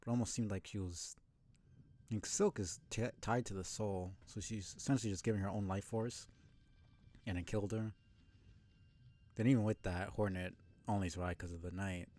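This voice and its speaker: A man's voice: lowish voice, steady tone, normal speed, long pauses, warm tone. A man giving casual live game commentary as a streamer, thinking aloud in a speculative, casually sweary way.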